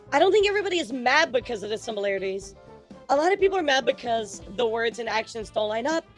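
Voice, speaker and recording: A woman interviewing a guest in an online chat, talking casually with plenty of fillers. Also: fairly high pitch.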